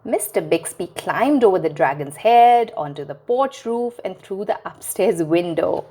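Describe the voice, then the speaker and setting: Female storyteller for kids, very animated pitch, slow and clear read-aloud delivery. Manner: expressive, sing-song storytelling to children. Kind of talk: reading a children's picture book aloud.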